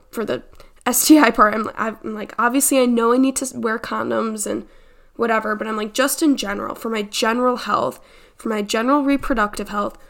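A woman's voice: on the high side, vocal fry, a bit bright. A nineteen-year-old woman hosting a casual personal podcast, chatty and informal, sounding a little congested and stuffed up. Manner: chatty, informal, peppered with "like" and "you know". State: congested, slightly stuffed-up nose. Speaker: podcast host.

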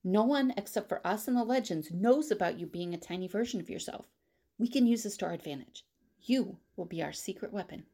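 A woman's voice: medium pitch, expressive, a little fast, warm tone. A woman playing a caring, level-headed woman in an audio drama. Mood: reassuring, hurried.

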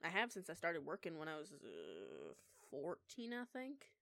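A young woman acts out an eighteen-year-old lone-wolf werewolf with a punk streak for an audio roleplay. Her voice sits a little low for a woman and is captured very dry. She talks casually and sarcastically, swears freely, and has a prickly, defiant temper. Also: wildly swooping pitch, creaky, a bit bright, no room sound, full character voice. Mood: chatty, self-assured. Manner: sweary, casual, sarcastic, halting when flustered. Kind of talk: intimate one-sided audio roleplay acting.

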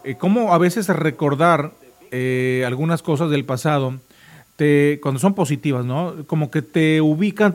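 A man with a Mexican accent hosting a casual, nostalgic radio chat. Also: fairly high pitch. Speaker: radio show host. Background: background music.

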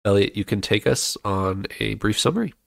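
A man's voice: lowish voice, moderate pace, gravelly, dry recording, clean studio sound. A man hosting a casual podcast chat, leading the talk and handing out turns, sounding a bit nasal from a cold. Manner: relaxed, leads the talk and hands out turns. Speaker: podcast host.

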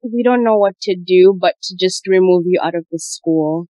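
A woman's voice: on the low side, moderate pace, no room sound. A young woman in her twenties from Uganda, hosting a casual conversation and telling her story with animation. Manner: animated storyteller, mimics voices.

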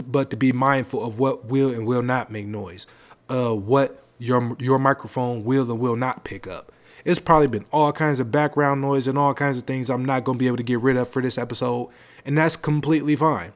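Male podcast host, deep projected voice, casual slangy rambling delivery, close mic. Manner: loose, rambling, conversational with slang. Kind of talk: casual solo podcast talk. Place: home setup, seated on a leather seat. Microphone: close podcast microphone.

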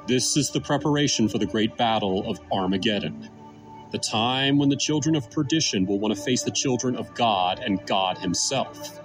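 A man giving scripted Bible teaching in an emphatic, deliberate way. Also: fairly low pitch, poor audio. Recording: music behind the voice. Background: background music.

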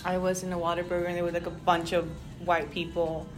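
A young woman whose was Spanish, co-hosting a casual podcast and chiming in with quick agreement. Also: on the low side, flat delivery, music in the background. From Rio Grande Valley, Texas. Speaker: podcast co-host. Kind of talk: casual chat.

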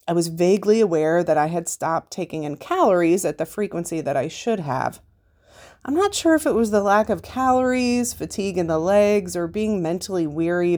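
Low-pitched American woman reading aloud. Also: a little mellow. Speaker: podcast host. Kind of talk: reading a written race report aloud.

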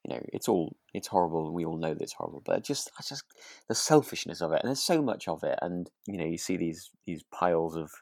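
A man's voice: sits low, expressive, quick pace, creaky, darkish tone, dry recording, studio quality. A British man chatting as a podcast co-host, hesitant and often restarting his sentences. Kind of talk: relaxed chat discussing a radio drama.

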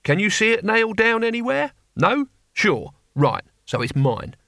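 Man playing an ancient cheerful warrior leader, gruff and blunt, crisp tone. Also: a little high, expressive, moderate pace, fairly bright tone, no room sound, studio quality. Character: gruff, cheerful very old male barbarian leader.

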